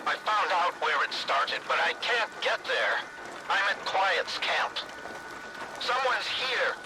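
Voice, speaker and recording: High-pitched, frightened professor on radio. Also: male voice, animated, takes it slowly, noisy background. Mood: panicked. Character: frightened male professor calling for help. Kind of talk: video game cutscene acting.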